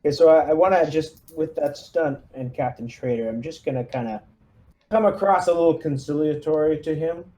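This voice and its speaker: Mellow-voiced man at a game table. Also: a little high, brisk. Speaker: player in a tabletop roleplaying game. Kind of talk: casual game-table chat.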